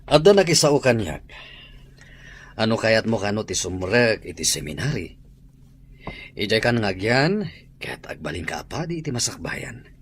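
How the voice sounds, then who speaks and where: A man's voice: fairly low pitch, sing-song, moderate pace, long pauses, a little hiss or hum. A man playing an authoritative, fatherly school principal in an audio drama. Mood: formal.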